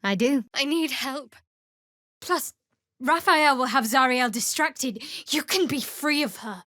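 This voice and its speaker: A woman's voice: high-pitched, takes it slowly, pushed and bright, dry recording, exaggerated voice. An actress voicing a guarded, sardonic young female cleric in a video game, with a dry, wry manner. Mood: earnest, composed. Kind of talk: video game character voice acting.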